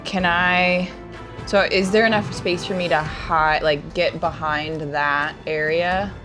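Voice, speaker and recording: A woman's voice: on the low side, fairly even intonation, slow. A woman playing a tabletop fantasy game with friends in casual, joking talk. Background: background music.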